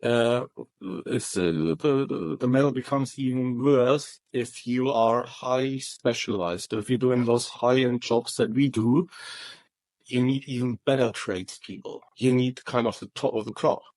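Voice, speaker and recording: A man answering interview questions about his company, speaking in halting phrases with frequent restarts. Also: medium pitch, a little mellow, no room sound. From Germany. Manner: halting, many restarts and repeated words. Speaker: roofing company manager.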